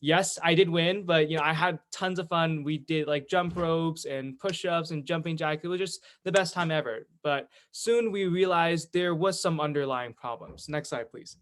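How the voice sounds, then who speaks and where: A man's voice: on the high side, normal speed, few pauses. A teenage male student, giving an informal online presentation in a chatty, enthusiastic storytelling way. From California, United States. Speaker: student presenter, education executive of a youth charity.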